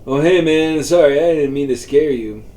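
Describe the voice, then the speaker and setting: A man's voice: fairly high pitch, normal speed, dark tone, pitched above the performer's own voice, lightly acted. A man voicing a skinny, scruffy old stranger with a casual, familiar manner in a story reading. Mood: apologetic.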